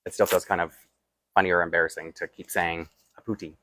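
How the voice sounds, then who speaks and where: A man's voice: low-pitched, fairly even intonation, fairly quick, fairly bright tone, a little hiss or hum. A man with a Toronto accent chatting casually and self-deprecatingly while unboxing and dressing a doll, with rambling asides. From Toronto, Canada. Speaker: doll collector vlogger.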